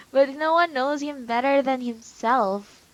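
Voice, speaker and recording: A woman commenting casually on a game. Her voice sits a little high and she takes her time, musing informally and a bit giggly. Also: takes it slowly, highs cut off. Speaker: gaming video host.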